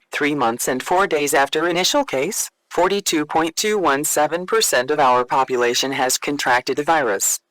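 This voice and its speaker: A man playing a grave government official reading a formal, measured emergency message in a scripted drama. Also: fairly high pitch, a bit bright, no room sound, natural voice, low-quality recording. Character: grave male foreign affairs official announcing a pandemic.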